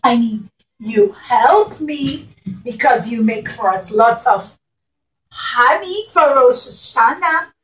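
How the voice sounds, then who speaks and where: A woman voicing a wise, kindly male king, talking in an animated, exclaiming way. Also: on the high side, slow pace. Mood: amused. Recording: echoey room from a distance.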